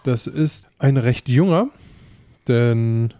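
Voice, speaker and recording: A man's voice: medium pitch, takes it slowly, warm tone, no echo. A man co-hosting a podcast, chatting casually. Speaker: podcast co-host. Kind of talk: casual chat.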